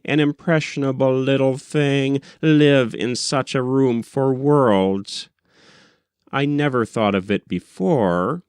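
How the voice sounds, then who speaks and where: Slow, smooth-voiced man narrating expressively. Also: mid-range voice, no echo, studio quality. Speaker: audiobook narrator. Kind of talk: expressive literary narration, reading aloud.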